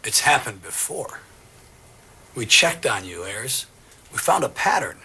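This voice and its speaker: A man's voice: medium pitch, sing-song, a little slow, vocal fry, bright, forceful tone, husky, heavily acted. A man playing a dogged, confrontational police detective in an audio drama, blunt and pressing. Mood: accusing. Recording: music under the voice.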